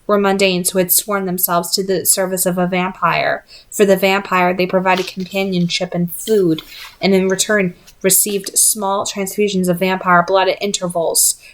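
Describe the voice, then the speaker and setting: A woman's voice: lowish voice, little pitch movement, moderate pace, poor audio. A woman narrating a novel in a scripted, read-aloud storytelling style. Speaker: audiobook-style reader.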